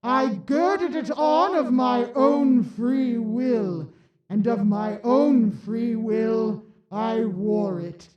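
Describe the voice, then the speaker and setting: A woman's voice: fairly high pitch, extremely slow, lo-fi sound. A woman playing the mournful, stern ghost of a dead businessman in a radio drama, solemn and declamatory. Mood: mournful, warning. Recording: echoey, distant, ghostly reverberant sound.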